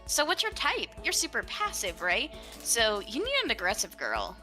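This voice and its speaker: Woman doing a bright, sassy teenage girl. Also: on the high side, wildly swooping pitch, moderate pace, soft background music, exaggerated voice. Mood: brash, friendly. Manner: sassy, slangy.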